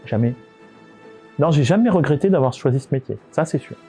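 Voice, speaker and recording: A man's voice: a little high, animated, a little fast, warm tone, slightly rough, faint music behind. A man giving informal interview answers as a local government executive, often hesitating and repeating words as he searches for phrasing.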